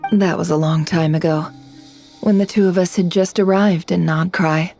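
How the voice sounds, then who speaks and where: A woman's voice: fairly low pitch, fairly even intonation, moderate pace, mellow, soft background music. A woman playing a poised, shrewd young lady who deals in information, composed and knowing, in a video game. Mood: reflective. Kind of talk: English video game voice acting.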